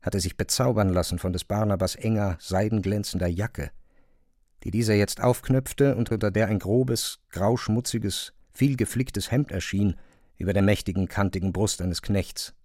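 A man's voice: fairly low pitch, moderate pace, dark tone, no echo, clean studio sound. A man reading scripted literary narration as the narrator of a drama.

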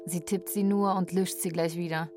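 Radio play role: restless, lonely caregiver from Poland played by an actress, fairly low voice, unadorned delivery. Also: female voice, fairly even intonation, normal speed, music in the background, natural voice. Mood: wistful, waiting. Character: lonely, restless Polish live-in caregiver woman. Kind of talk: radio drama, narrating and texting aloud.

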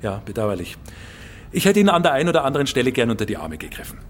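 A man's voice: medium pitch, sing-song, racing, slight background noise, natural voice. A man playing a pompous, fussy heritage official who talks in stilted, hesitant, bookish officialese in a drama. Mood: pedantic, regretful.

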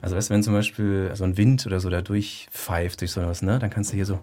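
A man's voice: low voice, fairly even intonation, fast talker, a little mellow. A man casually demonstrating sound-making objects, informal and chatty. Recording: very noisy location recording with clatter.

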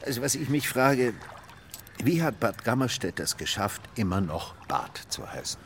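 A man's voice: medium pitch, sing-song, normal speed, creaky, a bit bright, slight background noise. An actor playing a bemused male visitor in a radio drama, casual and questioning.

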